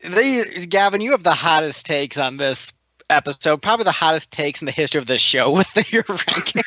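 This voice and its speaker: A man on a podcast panel in casual chat, joking and pausing often with ums. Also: fairly high pitch, animated, pushed and bright, no echo. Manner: jokey, hesitant with frequent ums.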